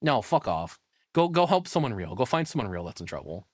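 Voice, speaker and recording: A man streams his reactions in a casual, off-the-cuff way. He is blunt and opinionated, swears freely and rambles as he thinks aloud. His middle-pitched voice is recorded close and dry, with no room around it. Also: big pitch swings, brisk, no room sound. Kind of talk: casual live reaction commentary.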